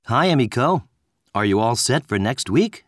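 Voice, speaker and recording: Man reading lines in a textbook listening dialogue, bouncy pitch, dry sound. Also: very animated, normal speed, no room sound. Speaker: student in scripted dialogue.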